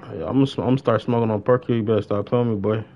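A man's voice: lowish voice, fairly even intonation, very fast, vocal fry, darkish tone, highs cut off, slight background noise. A young adult man chatting casually on a gaming livestream in slangy, informal speech with plenty of swearing. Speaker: game livestreamer.

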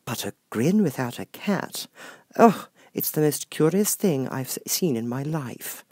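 A man's voice: a little high, animated, a little slow, a little mellow, dry recording, clean studio sound, pitched above the performer's own voice. A man voicing a polite, timid, curious young girl in a storybook reading, speaking carefully and earnestly. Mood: bemused.

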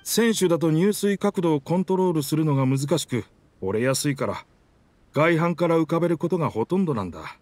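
An actor voicing a proud, dramatic talking pirate ship in an anime video game, in pompous, rough masculine speech. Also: male voice, fairly high pitch, expressive, fairly quick, a little mellow, dry recording, natural voice. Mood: wistful, subdued. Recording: music behind the voice.

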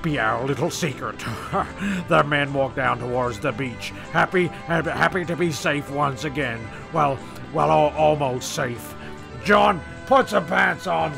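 A man's voice: fairly high pitch, slow, creaky, over music, exaggerated voice. A man playing a wry, exasperated comedy narrator, sweary and stumbling through his script. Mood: wry storytelling. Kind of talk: comic scripted narration with ad-libs.

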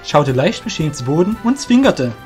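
A man narrates a scripted fantasy audio drama. His voice sits fairly high for a man. Faint music plays behind him. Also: sing-song, moderate pace, a little mellow.

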